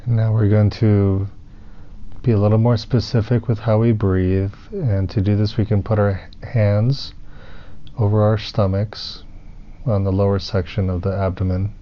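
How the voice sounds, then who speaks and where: A man leading a guided meditation as a teacher, soothing and unhurried, pausing between phrases. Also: on the low side, fairly even intonation. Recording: muffled, with clear background noise.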